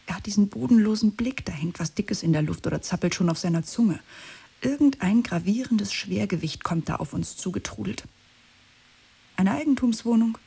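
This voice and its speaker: A woman playing a brooding, watchful writer in a radio drama, thinking aloud in a reflective, poetic, measured way. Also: mid-range voice, animated, creaky, mellow, husky, full character voice. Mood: wary, wondering. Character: brooding woman writer, watchful, searching, partner to a secretive man. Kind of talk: introspective radio drama monologue and dialogue. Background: musical instruments under the voice.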